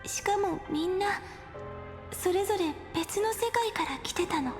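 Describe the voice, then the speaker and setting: An actress voicing a gentle, wistful young woman in an anime game, speaking softly and breathily in measured phrases. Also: very high voice. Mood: wistful, nostalgic. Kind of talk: Japanese anime game voice acting. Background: game music.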